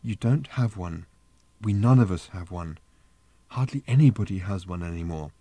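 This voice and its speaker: A man voicing a calm, earnest mother in a story, quietly and persistently pleading with her daughter. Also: mid-range voice, moderate pace, no room sound, close to an everyday voice. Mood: gentle pleading.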